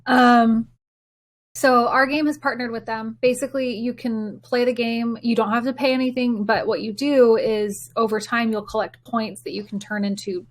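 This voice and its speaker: A woman's voice: a little high, steady tone, moderate pace. A woman co-hosting a podcast, talking casually and informally. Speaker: podcast co-host, game developer.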